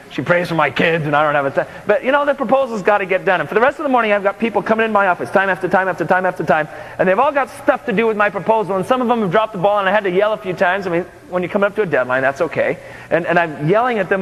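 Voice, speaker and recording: Higher-pitched preacher in a reverberant hall. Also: male voice, very fast. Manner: animated, conversational, with acted dialogue. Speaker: pastor. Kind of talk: first-person dramatic storytelling sermon. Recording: large hall with long reverb.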